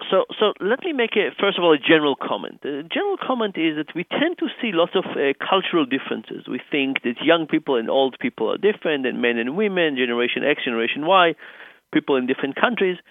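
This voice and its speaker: Israeli guy, professor explaining research, gravelly voice on a tinny phone line. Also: fairly high pitch, very animated, fast talker, no echo. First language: Hebrew. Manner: explanatory, thinking aloud, clears throat. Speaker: author and professor guest.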